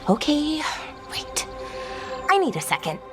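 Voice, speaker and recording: A woman's voice: sits high, over music. An actress playing an eager, chatty young female elven magic researcher who thinks aloud in a fantasy video game. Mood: intrigued, uneasy. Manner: excitable, halting, thinking aloud. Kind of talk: cinematic video game dialogue.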